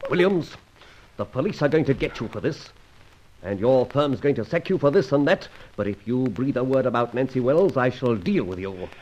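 An actor playing a suave gentleman adventurer in a radio drama, urbane and teasing, with a theatrically polite manner and a husky voice. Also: medium pitch, lively intonation, moderate pace, a little hiss or hum, close to an everyday voice. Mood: threatening. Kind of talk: old radio drama acting. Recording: dull vintage radio recording.

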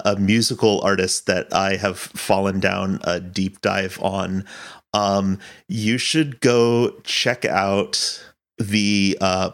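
A man's voice: on the low side, fairly bright tone, smooth. A man being interviewed as a director, talking casually with hesitant, searching phrasing.

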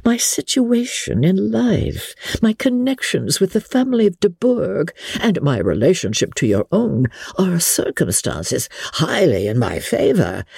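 Woman playing a pompous, husky gentleman suitor. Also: fairly low pitch, no room sound, studio quality, heavily acted. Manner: pompous, long-winded, unctuous. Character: pompous, self-satisfied male clergyman suitor.

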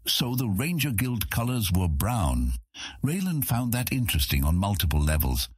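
A man's voice: lowish voice, sing-song, normal speed, darkish tone, slightly rough, no echo, studio quality. A man narrating a scripted fantasy audiobook as its storyteller.

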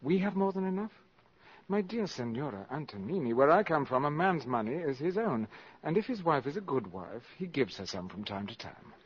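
An actor playing a smooth, cunning valet with polite, measured, formal speech in a 1950s radio drama. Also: male voice, fairly high pitch, sing-song. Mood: cool, commanding. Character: smooth, cunning valet, deferential yet secretly arrogant. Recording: muffled 1950s radio broadcast.

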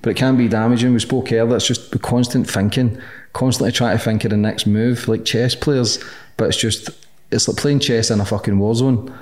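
A man's voice: medium pitch, steady tone, a little fast, a little mellow. A man interviewing a guest in casual chat, blunt and swearing freely. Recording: echoey room.

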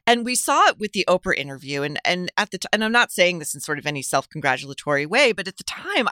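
Wry woman, bright and clear. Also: lowish voice, no room sound, studio quality. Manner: wry, scornful. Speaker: guest commentator. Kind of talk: opinionated conversational commentary.

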